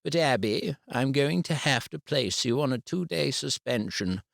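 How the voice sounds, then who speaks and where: A man voicing a woman head teacher who speaks in a measured, formal way. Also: mid-range voice, animated, gravelly, no echo, studio quality, pitched above the performer's own voice. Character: prim woman head teacher, calm and firm.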